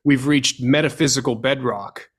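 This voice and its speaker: A man hosting a podcast interview, reading a scripted introduction and asking questions in a measured, deliberate way. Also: mid-range voice, steady tone, husky. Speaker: podcast host.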